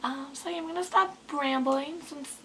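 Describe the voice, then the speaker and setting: A woman in her twenties with a hoarse, sick voice, chatting casually to camera in a rambling way with lots of ums. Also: high-pitched, moderate pace, bright, forceful tone, slight background noise. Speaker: beauty vlogger.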